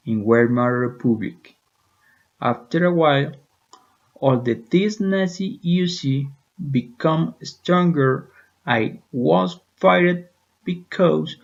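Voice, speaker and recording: Man playing creaky-voiced dead architect's spirit. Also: fairly high pitch, a little mellow, exaggerated voice. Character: spirit of a dead elderly male European architect. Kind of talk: scripted interview role-play in English. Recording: dull lo-fi recording.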